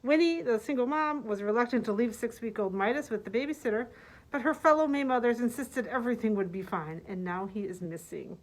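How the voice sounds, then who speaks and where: A woman's voice: fairly high pitch, brisk, rough recording. A woman librarian recommending books, partly reading their summaries aloud. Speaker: librarian presenting book picks.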